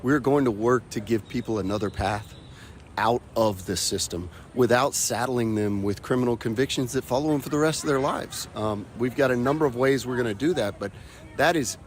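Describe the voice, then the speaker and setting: A man speaking as a top law enforcement official, giving a measured, official interview answer. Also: medium pitch, slight background noise. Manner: measured, official, slight restarts.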